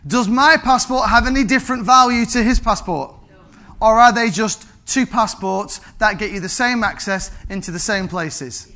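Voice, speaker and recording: A man, preaching an animated sermon to his congregation and calling out for amens. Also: sits high, moderate pace. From England. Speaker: pastor.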